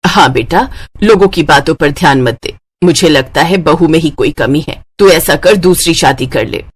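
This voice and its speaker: A woman's voice: deep voice, moderate pace, breathy edge, voice pushed down. A woman voicing a bossy, suspicious older mother-in-law who scolds, in a cartoon moral story. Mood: disapproving.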